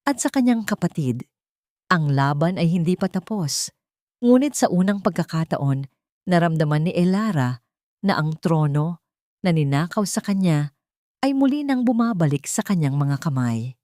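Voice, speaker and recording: A woman with a Filipino accent narrates a scripted romance drama. Her voice sits on the low side and the delivery is melodramatic. The recording is very dry, with no room sound. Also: darkish tone, dry recording.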